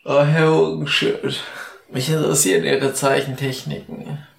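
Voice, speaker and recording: A man's voice: a little high, flat delivery, unhurried, creaky, echoey room. A man co-hosting a podcast, drunk, bantering casually in a theatrical, clowning way. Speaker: podcast co-host.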